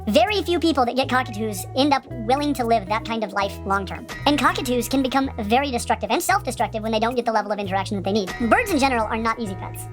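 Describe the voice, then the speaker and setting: A woman presenting pet-care advice in a direct, earnest, informative way. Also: on the high side.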